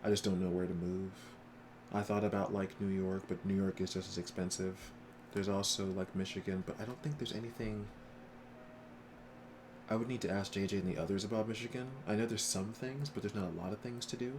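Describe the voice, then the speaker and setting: A man's voice: deep voice, steady tone, brisk. An American man chatting casually as a game streamer on a live stream. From United States. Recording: noisy stream, game sounds behind.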